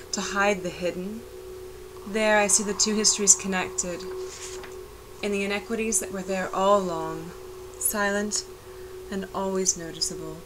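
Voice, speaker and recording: A woman reciting a poetic spoken-word narration for a video art piece, measured and reflective. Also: medium pitch. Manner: measured, reflective, unhurried delivery. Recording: voice-over with clear background noise.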